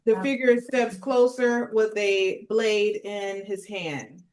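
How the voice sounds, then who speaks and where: Medium-pitched woman reading stage directions. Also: fairly even intonation, slow, a little mellow. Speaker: narrator and table read leader.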